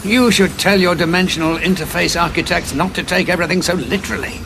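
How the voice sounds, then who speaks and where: A man's voice: high voice, quick pace, noisy background. A man playing a weary, moral renegade Time Lord in an audio drama, sardonic, intense and challenging. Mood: dry, wary.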